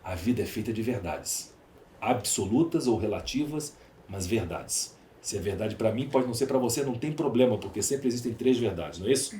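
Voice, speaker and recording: A man's voice: fairly low pitch, expressive, fairly quick, dark tone, a little hiss or hum. A Brazilian man speaking as an interview guest, conversational and emphatic, repeating phrases for rhetorical effect. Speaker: podcast guest, entrepreneur. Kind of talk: conversational interview, storytelling and opining. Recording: roomy, echoey sound.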